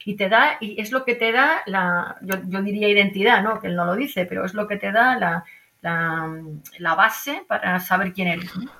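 A woman's voice: mid-range voice, moderate pace, pushed and bright. A woman with a Madrid Spanish accent explains ideas as an interview guest, emphatic, repeating phrases and checking in with tag questions. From Madrid, Spain. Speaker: interview guest, archaeologist and university professor. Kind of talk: explanatory conversation.

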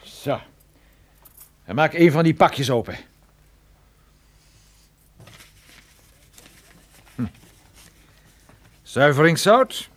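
An actor playing a tough, sardonic private detective in a radio drama, menacing and dryly sarcastic. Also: male voice, on the high side, lively intonation, slow pace, a bit bright. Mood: commanding, threatening. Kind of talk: radio drama acting with first-person narration.

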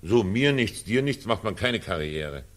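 Pompous, wildly swooping cabaret director. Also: male voice, lowish voice, lively intonation, measured pace. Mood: condescending. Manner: condescending, theatrical, patronizing. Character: pompous, evasive middle-aged male cabaret director. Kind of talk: 1940s German radio drama acting. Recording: 1940s radio drama recording.

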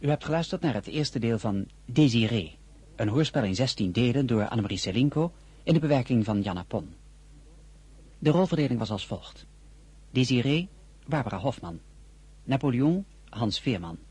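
A man's voice: mid-range voice, expressive, moderate pace, a little mellow, breathy edge. A man announcing radio drama credits in a formal, scripted style.

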